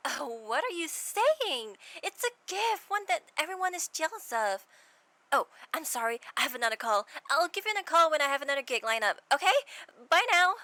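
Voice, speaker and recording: A woman's voice: sits high, sing-song, moderate pace, bright, forceful tone, full character voice. A woman voicing a chirpy, upbeat talent manager in an anime dub. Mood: chirpy, businesslike.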